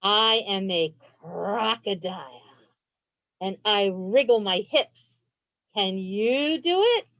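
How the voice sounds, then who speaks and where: A woman librarian reading a children's picture book aloud in an expressive, encouraging way. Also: medium pitch, slow, no echo. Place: indoors on a video call.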